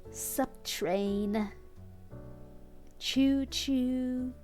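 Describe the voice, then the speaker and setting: A woman streams a comedic read-aloud of fan fiction in a fairly high voice. She takes it at a slow pace and has a giggly manner, dropping asides to her viewers. Soft music plays behind her. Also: steady tone. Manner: giggly, often breaking into laughter while reading. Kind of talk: comedic live fanfic reading with chat asides.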